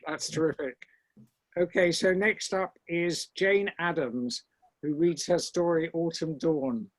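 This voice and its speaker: A man hosting an online reading event, introducing speakers in an informal, halting way. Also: on the high side, poor audio. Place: online group video call.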